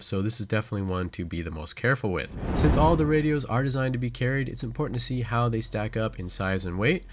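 A man delivering a scripted product review as channel host, in a matter-of-fact, instructional way. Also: fairly low pitch.

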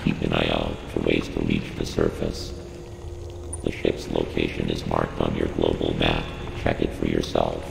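Ship computer for a sci-fi game: profoundly low, heavily creaky, calm and formal adviser to a bounty hunter. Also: male voice, background noise, heavily acted. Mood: calm, detached, advisory. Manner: measured, clipped, formal, analytical. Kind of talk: scripted video game briefing. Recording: echoey long reverb under music.

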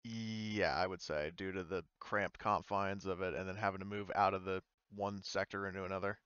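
A man running an online tabletop game as game master, casual and halting as he thinks aloud. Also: low voice, steady tone, fairly bright tone, smooth, no echo, dull audio. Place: indoors, online voice call.

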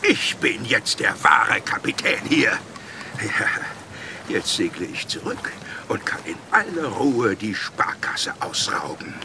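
A scheming rogue of a children's audio play, boastful and smug. His gravelly voice leaps up and down as he mutters and gloats, with clear noise of sound effects behind him. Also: fairly low pitch, sing-song, slow, exaggerated voice. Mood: smug gloating. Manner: boastful, cackling, muttering to himself. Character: scheming gloating male villain, a ship-stealing rogue. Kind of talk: German children's audio drama acting.

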